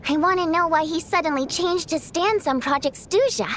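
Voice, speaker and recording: Super high-voiced bubbly young girl, kinda sick but thrilled. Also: normal speed, a little hiss or hum. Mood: eager. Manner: bright, excitable, chatty. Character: young sickly but eager woman. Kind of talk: game voice acting.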